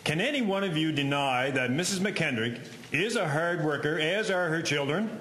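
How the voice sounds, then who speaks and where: A man playing a practical, authoritative reverend with a measured, preachy manner in a historical drama. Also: a little high, animated, a bit bright, slight background noise. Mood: firm, admonishing. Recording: echoey room.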